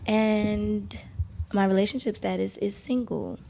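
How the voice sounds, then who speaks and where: A woman in her twenties introducing herself as a podcast guest, relaxed and casual. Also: mid-range voice, unhurried, creaky, slight background noise. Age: 20s.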